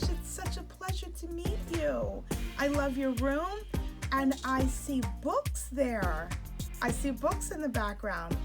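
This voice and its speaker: A woman talks to young children as a guest author, taking her time with each phrase. She is encouraging and effusive, with music playing clearly behind her voice. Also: high-pitched. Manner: encouraging, gushing. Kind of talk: warm address to young children, read-aloud.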